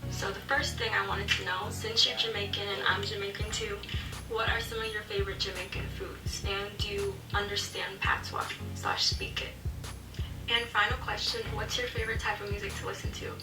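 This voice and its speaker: A woman's voice: medium pitch, fairly even intonation, normal speed. A woman speaking casually in a recorded message, as a viewer sending in questions. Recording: echoey distant clip, music behind. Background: music.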